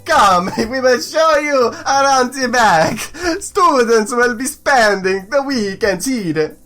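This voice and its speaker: A woman voicing an excitable male archaeologist with a mock Italian accent in a visual novel read-aloud. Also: on the high side, takes it slowly. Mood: cheerful, welcoming. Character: excitable French-Belgian archaeologist man with an Italian-sounding accent.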